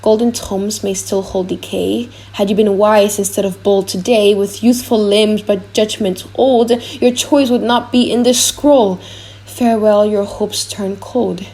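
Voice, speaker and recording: A woman's voice: mid-range voice, fairly even intonation, unhurried, dark tone, lightly acted. A woman reciting solemn, mocking rhymed verse as a riddle scroll in an audiobook play.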